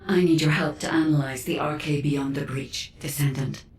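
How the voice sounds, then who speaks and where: An actress playing a composed, formal researcher giving a measured briefing in a video game. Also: female voice, sits low, steady tone, roomy sound, distant mic, noisy background, lightly acted. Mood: earnest, composed. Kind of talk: video game dialogue acting.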